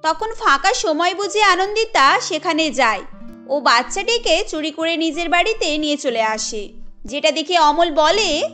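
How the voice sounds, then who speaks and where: A woman narrating a Bengali cartoon story in a steady, explanatory storytelling way. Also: very high-pitched, measured pace, soft background music.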